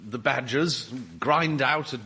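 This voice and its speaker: An actor with an English accent playing a genteel, pompous, wordy housemate in a radio sitcom. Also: male voice, medium pitch, takes it slowly, bright, forceful tone. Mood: earnest. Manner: pompous, wordy, formal phrasing. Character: genteel, pompous male housemate and eager football fan. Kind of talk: radio sitcom acting before a live audience.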